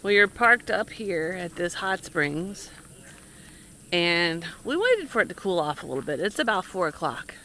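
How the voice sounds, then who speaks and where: A woman's voice: lowish voice, big pitch swings, measured pace, pushed and bright. A woman in her forties narrating a casual, upbeat travel vlog from a camper van, filmed on a handheld action camera. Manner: chatty, upbeat, narrating the drive. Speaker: travel vlogger. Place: camper van on the road and outdoors. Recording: road and engine noise behind.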